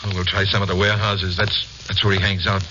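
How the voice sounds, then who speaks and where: Man as halting, anxious father, noisy old radio. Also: low voice, flat delivery, close to an everyday voice. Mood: evasive. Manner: halting, stammering, evasive. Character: anxious, secretive father shielding his troubled son. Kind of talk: 1950s radio drama acting. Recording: muffled, noisy 1950s radio recording.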